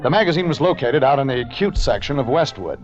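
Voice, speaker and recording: A man playing a laconic, wry private detective in a 1940s radio drama, terse and deadpan. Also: mid-range voice, fast talker, natural voice. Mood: deadpan narrating. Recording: muffled vintage radio recording, music behind.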